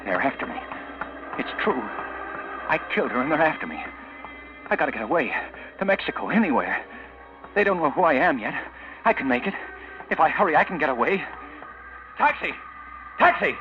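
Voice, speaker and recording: A man's voice: a little high, very animated, fairly quick, long pauses. An actor playing an anguished, guilty man on the run in a 1940s radio drama. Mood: panicked. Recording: muffled old radio recording with music.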